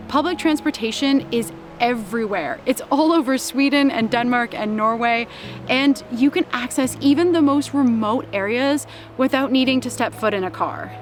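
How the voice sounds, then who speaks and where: Young woman, slightly high voice, reflective vlog voice-over, steady music bed underneath. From Canada. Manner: calm, heartfelt, with small laughs. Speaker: vlogger.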